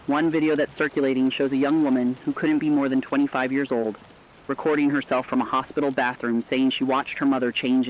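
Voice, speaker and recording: A man's voice: medium pitch, close to an everyday voice. A man playing an earnest, compassionate radio co-anchor, giving solemn, emotive scripted commentary on breaking news. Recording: dull lo-fi radio sound, faint noise.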